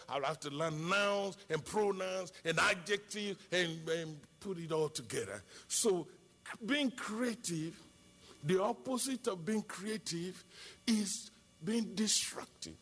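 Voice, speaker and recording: A man's voice: high voice, a bit bright. A middle-aged man with a Nigerian accent, a native Yoruba speaker, preaching an impassioned sermon as a pastor with emphatic repetition and rhetorical questions.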